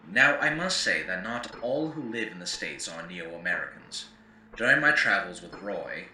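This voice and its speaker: An actor playing a grave, eloquent chronicler of a ruined world, narrating lore in formal, theatrical oratory for an audio drama. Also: male voice, on the low side, bright, forceful tone, reverberant, far from the mic, slight background noise, poor audio. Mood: measured. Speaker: narrator and host. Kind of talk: scripted in-character lore narration for an audio series.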